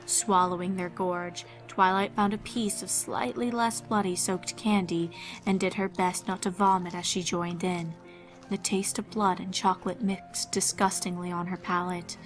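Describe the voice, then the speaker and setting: Woman narrating with very even intonation. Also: fairly low pitch, normal speed, faint music behind. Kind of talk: scripted fan fiction horror narration.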